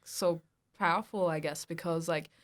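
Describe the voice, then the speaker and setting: A young woman co-hosting a casual chat podcast, talking excitedly, laughing often and saying "like" a lot. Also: low-pitched, normal speed. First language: Hindi. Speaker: podcast co-host. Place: indoor venue.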